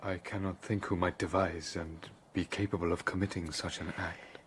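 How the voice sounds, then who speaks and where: An actor playing a guilt-ridden young gentleman scientist in a gothic radio drama, formal, brooding and anguished. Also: sits low, little pitch movement, normal speed, a little hiss or hum, close to an everyday voice. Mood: bleak, weary.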